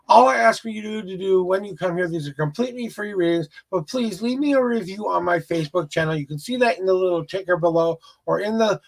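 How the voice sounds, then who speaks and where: Midwest minnesota guy doing tarot on stream, rambly, lotta restarts, voice on the higher side. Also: vocal fry, poor audio. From rural Minnesota. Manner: rambling, repeats words and restarts often. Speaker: livestream tarot reader. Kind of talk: casual livestream chat with viewers.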